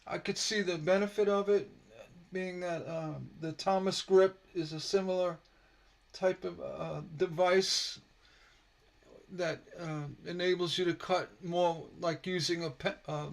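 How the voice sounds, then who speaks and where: A man teaching stained glass on a casual live stream, slightly hoarse from a sore throat, relaxed and rambling with many fillers. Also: high-pitched, a little slow. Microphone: computer mic on a live stream.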